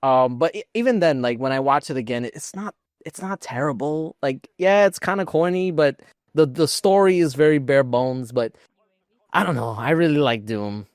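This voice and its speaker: A man's voice: a little high, moderate pace, no room sound, clean studio sound. A man co-hosting a podcast in casual panel chat, rambling along with enthusiasm. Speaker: podcast co-host.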